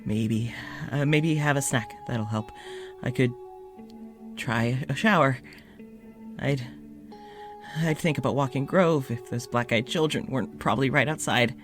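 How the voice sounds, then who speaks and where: Actor playing a worn-out, sleepless doctor. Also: male voice, mid-range voice, faint music behind, natural voice. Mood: weary. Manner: halting, weary, pleading, breaks into shouting. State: exhausted. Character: exhausted, anxious male doctor keeping an audio journal. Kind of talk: audio drama monologue.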